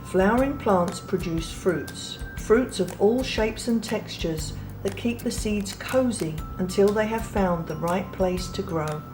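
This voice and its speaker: A woman reading a children's picture book aloud in a gentle, unhurried way, pausing softly between phrases. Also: mid-range voice. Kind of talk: read-aloud storybook narration. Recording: read over clear background music.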